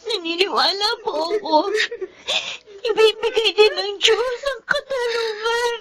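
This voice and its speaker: A woman's voice: very high-pitched, measured pace, fairly bright tone. An actress playing an anxious, dutiful young woman in a radio drama, respectful and tearful as a grieving daughter and sister. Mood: sad, consoling. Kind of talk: Tagalog radio drama acting.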